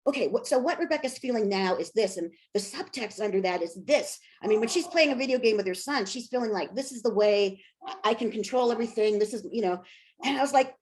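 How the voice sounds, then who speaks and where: A woman's voice: fairly high pitch, fast talker, a little mellow. Candid, wryly funny woman in conversation. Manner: self-deprecating, wryly humorous. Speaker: author and scholar being interviewed. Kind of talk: casual, candid interview talk.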